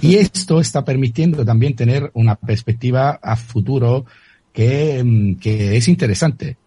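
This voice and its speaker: A man's voice: mid-range voice, a little slow, warm tone, husky, no echo. A man from Italy with an Italian accent, a guest on a radio interview who often repeats words while searching for the right term.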